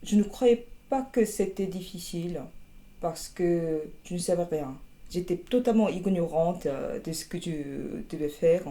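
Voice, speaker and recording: Young Korean woman, Korean accent, deep mellow tone, hesitant and wry interviewee. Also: a little slow. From South Korea. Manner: hesitant, many fillers and restarts, wry humor. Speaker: interviewee, master's student. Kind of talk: interview answers.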